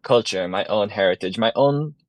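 A man's voice: lowish voice, normal speed. A young man from Ireland, a fashion design student, speaking earnestly as a radio interview guest.

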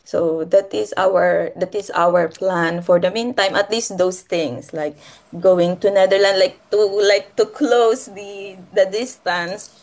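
A woman from the Philippines with a Filipino accent and Filipino as her first language, talking casually and haltingly, often repeating and rephrasing her phrases. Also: medium pitch, steady tone, creaky, darkish tone. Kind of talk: casual conversational personal talk.

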